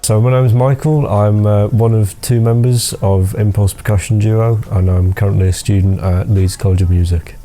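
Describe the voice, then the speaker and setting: Deep, dark-voiced Scouse young man. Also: fairly even intonation, normal speed, few pauses. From Liverpool. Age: young adult. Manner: thoughtful, hesitant with many ums. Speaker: music student and percussionist. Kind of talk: interview answers.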